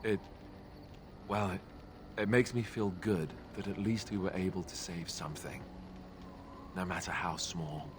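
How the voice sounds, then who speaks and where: Young warrior companion, deep-toned, sincere, slightly halting, fantasy game dialogue, background ambience. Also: male voice, steady tone, noisy background. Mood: grateful, sombre. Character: earnest, grateful young male warrior companion.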